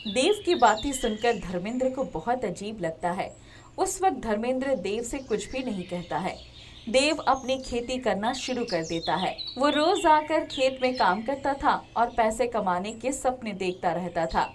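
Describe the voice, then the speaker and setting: A woman's voice: fairly high pitch, expressive, unhurried, slight background noise. A woman narrating a scripted moral story as a storyteller.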